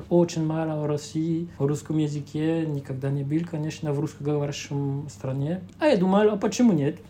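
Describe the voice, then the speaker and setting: A young man from Belgium with a French-speaking Belgian accent, whose first language is French. He talks with a mellow, dark tone. He pauses often and hunts for words as an interview guest. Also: on the high side, a little hiss or hum. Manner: hesitant, searching for words with many fillers. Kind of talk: casual interview talk.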